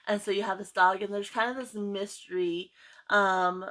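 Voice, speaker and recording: A woman's voice: medium pitch, steady tone, normal speed, fairly bright tone. A woman vlogger chatting casually about books she read, enthusiastic, with lots of fillers and repeated words. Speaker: book vlogger. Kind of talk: casual book review chat.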